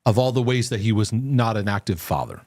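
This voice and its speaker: A man's voice: lowish voice, lively intonation, brisk, a little mellow, dry recording, clean studio sound. A man hosting a live question-and-answer broadcast, giving earnest, reassuring faith-based teaching. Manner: earnest, reassuring, lots of restarts. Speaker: host.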